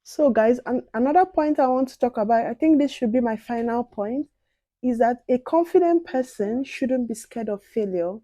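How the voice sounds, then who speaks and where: A soft-spoken young woman chatting casually to viewers while doing her makeup. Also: on the high side, moderate pace, no echo. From outside the UK. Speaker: beauty and advice vlogger.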